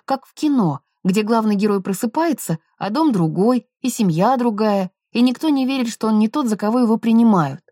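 A woman narrates a novel in a soft, mellow voice. The sound is dry, with very little room around it. Her delivery is scripted literary storytelling. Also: on the high side, expressive, moderate pace, few pauses, dry recording. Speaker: audiobook narrator.

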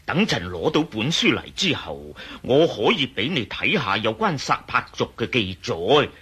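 Husky, animated scholarly professor. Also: male voice, medium pitch, normal speed, exaggerated voice. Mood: thoughtful. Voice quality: husky, raspy. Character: absent-minded, scholarly male Egyptology professor, easily excited. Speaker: radio drama actor. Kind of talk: Cantonese radio drama acting.

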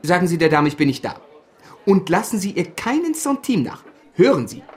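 An actor playing an affable young stockbroker in a radio play, urbane and conversational. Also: male voice, high-pitched, big pitch swings, moderate pace. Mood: brisk.

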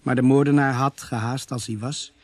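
A dutiful police officer played by a Dutch man reporting in a radio drama. The voice is husky and of middle pitch, with a factual delivery. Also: fairly even intonation, normal speed, dry recording, lightly acted.

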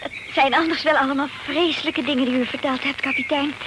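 A woman's voice: high voice, fairly even intonation, fast talker, natural voice. An actress playing a dramatic, easily frightened lady in an old radio play with hiss. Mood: alarmed.

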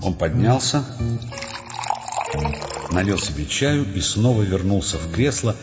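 A grave, observant male narrator tells a literary story in an audio drama. His voice sits very low, wrapped in long reverb with some music underneath. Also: very animated, measured pace. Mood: grave, measured. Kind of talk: scripted literary narration in radio play. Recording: dull sound in a long reverb.

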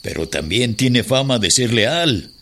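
An actor with a Mexican accent playing a persuasive, pragmatic revolutionary conspirator in a radio drama. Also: male voice, mid-range voice, expressive, unhurried, fairly bright tone, slight background noise. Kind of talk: Spanish-language radio drama dialogue.

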